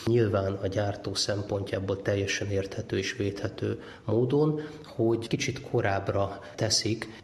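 A man's voice: fairly low pitch, a little mellow. A man giving explanatory answers in a radio interview as an expert, posing questions and answering them himself. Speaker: ecologist and university lecturer, interviewee.

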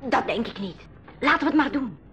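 A woman's voice: on the high side, expressive, brisk, a bit bright. A woman playing a bold, confident girl on an adventure in a 1980s educational radio play, speaking expressively. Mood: curious. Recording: faintly noisy 1980s radio recording.

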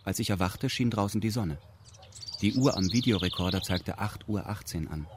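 A low-pitched actor playing a male radio drama narrator reports everything in stiff, pedantic language. He stays detached and deadpan, with a faint noise behind him. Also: normal speed, close to an everyday voice. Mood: dry. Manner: formal, deadpan, stilted indirect speech. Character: detached male first-person narrator, pedantically formal and dry.

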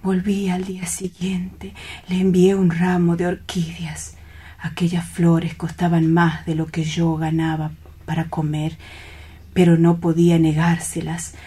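A dreamy, heartsick romantic man played by an actor in a radio play. He speaks slowly and softly, often sinking to a breathy half-whisper, with intense, wistful lyricism. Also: on the high side, monotone. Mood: dreamy nostalgia. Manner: lyrical, wistful, drawn-out reminiscing.

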